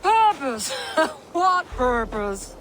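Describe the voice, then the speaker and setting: A woman playing a stern, sceptical Norse sister in a video game, blunt and deliberate in her speech. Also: sits high, very animated, fairly bright tone, slight background noise, heavily acted. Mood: scornful. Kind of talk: video game cutscene acting.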